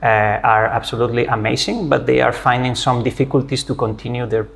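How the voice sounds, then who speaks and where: Spanish-accented middle-aged professor, friendly explainer, mid pitch, pauses on "uh" often. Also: male voice, fairly even intonation, creaky, slight background noise. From Spain. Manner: friendly, explanatory, with frequent "uh" hesitations. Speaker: physics professor, interview guest. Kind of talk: academic interview conversation.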